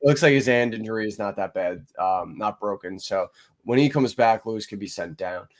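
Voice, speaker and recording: A man's voice: on the low side, moderate pace. Congested man hosting a podcast. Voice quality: nasal. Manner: rambling, many fillers. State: congested from a cold. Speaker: podcast host. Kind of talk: casual podcast chat.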